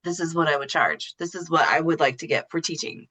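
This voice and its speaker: A woman's voice: deep voice, a little fast, fairly bright tone. A woman explaining things as a podcast guest in casual, informal interview talk. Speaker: podcast guest explaining her know-how.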